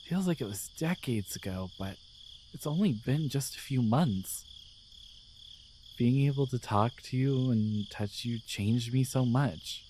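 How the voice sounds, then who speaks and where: A man voicing a devoted, gentle guardian angel in an intimate romantic ASMR roleplay, speaking softly with tender, hesitant care. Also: mid-range voice, expressive, a little slow, slight background noise, natural voice. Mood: confiding, hesitant. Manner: soft, tender, hesitant, soothing. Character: devoted, gentle male guardian angel in love with their human.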